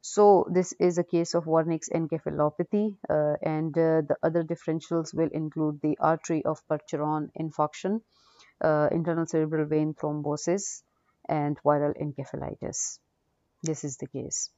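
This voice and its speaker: Low, dark-voiced woman lecturing. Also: little pitch movement, normal speed, dry recording. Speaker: radiology lecturer. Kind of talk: unscripted case-review lecture. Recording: dull, dry lo-fi audio.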